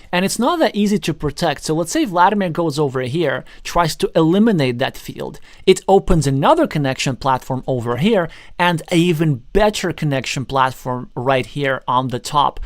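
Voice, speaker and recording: A man's voice: on the high side, no room sound, clean studio sound. A man whose first language is Russian, giving live board game commentary in an analytical way, thinking aloud as he goes. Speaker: tournament commentator.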